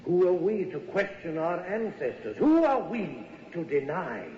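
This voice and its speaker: Old professor, patronizing, unhurried, quietly menacing, radio play, muffled reverberant recording. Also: male voice, sits high, sing-song, slight background noise. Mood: solemn, menacing. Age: older. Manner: condescending, deliberate. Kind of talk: radio drama acting.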